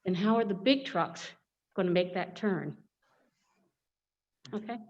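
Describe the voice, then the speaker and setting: A woman's voice: mid-range voice, unhurried. Muffled woman speaking at a town meeting. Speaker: resident at public comment. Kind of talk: public comment at a town meeting.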